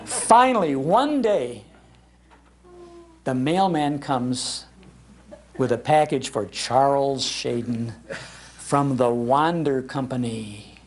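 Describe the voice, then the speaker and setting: An older man with an American accent giving a talk on old-time radio, telling humorous anecdotes in an animated way and acting out the lines he quotes. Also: medium pitch, takes it slowly, long pauses. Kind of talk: humorous anecdotal storytelling to a live audience. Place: public library talk.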